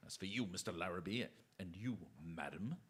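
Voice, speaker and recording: A man acting a courteous, shrewd, chivalrous detective in a radio drama, with formal, deliberate speech. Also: sits low, breathy edge. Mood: gallant, firm. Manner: formal, polite, theatrically deliberate.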